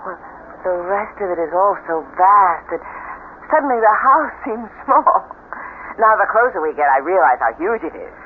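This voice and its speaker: An actress playing a hopeful, nervous new bride, earnest and expressive, in a radio mystery. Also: female voice, medium pitch, normal speed, narrow, tinny audio, natural voice. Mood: delighted. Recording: thin, hissy old radio recording.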